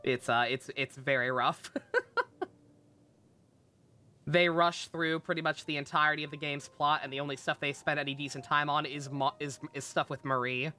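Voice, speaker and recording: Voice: mid-range voice, fairly even intonation, moderate pace, bright, forceful tone. A game streamer chatting casually and reacting on a live stream, informal, joking and sweary, close on the mic. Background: game music.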